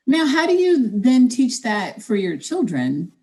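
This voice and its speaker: A woman's voice: a little high, sing-song, unhurried, mellow, recorded from a distance. Chatty woman host, away from the mic. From Cleveland, Ohio. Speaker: podcast host. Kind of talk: interview questions.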